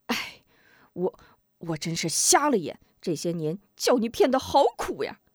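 A woman's voice: lowish voice, big pitch swings, measured pace, dry recording, clean studio sound. A woman voicing a hot-tempered young male swordsman in a told story, blurting out his feelings. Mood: rueful. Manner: blurting, emotional outbursts.

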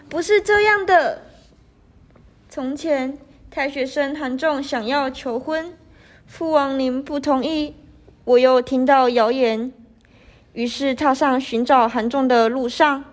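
Young woman as slow, high-voiced gentle ghost princess. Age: young adult. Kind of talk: student radio drama acting.

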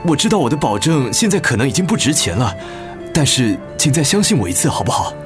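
An actor with a Taiwanese accent playing a middle-aged husband in a radio drama, earnest, gentle and apologetic as he tries to win back his wife. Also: mid-range voice, very animated, fast talker, lightly acted. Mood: earnest, pleading. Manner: gentle, pleading. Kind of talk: Mandarin radio drama acting. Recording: music bed under the voice.

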